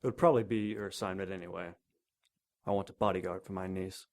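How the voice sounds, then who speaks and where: A man's voice: low-pitched, steady tone, fast. A man playing an arrogant, wealthy older industrialist in an audio drama, clipped and imperious. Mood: haughty. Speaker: client.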